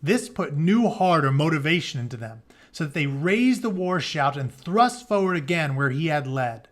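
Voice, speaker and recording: Man, high-pitched voice, teacher's read-aloud, dramatic storytelling, casual explanatory asides. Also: very animated, normal speed. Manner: dramatic reading broken by plain informal paraphrase.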